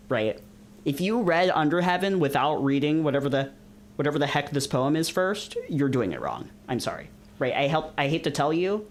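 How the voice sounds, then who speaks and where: A man streaming a read-along with casual, rambling, jokey commentary, often repeating himself for emphasis. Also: mid-range voice. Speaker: streamer reading visual novels aloud. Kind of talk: casual live commentary mixed with dramatic read-aloud.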